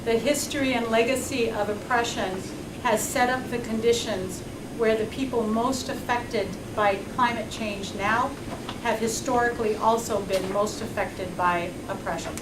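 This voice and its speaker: A fairly high-voiced woman delivers a steady, deliberate statement for her organization. She sounds distant in an echoing hall, with constant background noise. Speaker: organization representative. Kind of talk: prepared statement.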